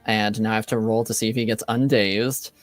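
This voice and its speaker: A man's voice: fairly low pitch, level tone, a little fast, soft background music. A man running a tabletop game as game master, laid-back, laughing often and swearing casually.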